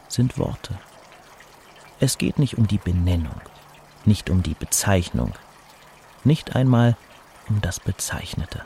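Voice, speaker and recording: A man playing a burnt-out poet who reads out his reflective letters in a measured, contemplative way, as scripted diary-like narration. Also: on the low side, warm tone, slight background noise. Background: music behind the voice.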